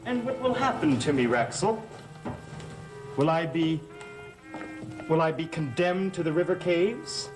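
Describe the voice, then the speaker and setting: An actor playing a sardonic, secretive young heir in a theatrical gothic soap, speaking with a mocking manner. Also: male voice, a little high, expressive, measured pace, pauses often, music in the background, rough recording. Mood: mocking, smug. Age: young adult. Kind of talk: 1960s-70s gothic TV soap acting.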